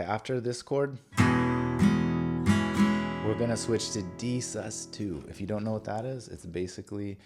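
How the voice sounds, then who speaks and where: A man giving a casual guitar lesson, informal and halting, thinking aloud and correcting himself, with a guitar being played. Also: fairly low pitch. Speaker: guitar teacher. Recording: guitar playing loudly alongside the voice. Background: acoustic or electric guitar being played.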